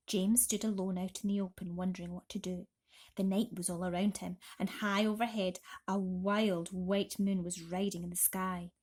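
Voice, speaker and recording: A woman narrating a children's bedtime story expressively, dramatically dropping into breathy, hushed tones. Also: lowish voice. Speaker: storybook reader.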